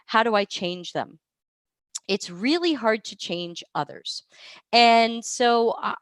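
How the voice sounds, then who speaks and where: A woman's voice: medium pitch, a bit bright, no room sound, studio quality. A woman who is sick with a cold, hosting a live Q&A stream, chatty and rambling, often stopping to correct herself. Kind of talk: casual live Q&A. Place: hotel or temporary room while travelling.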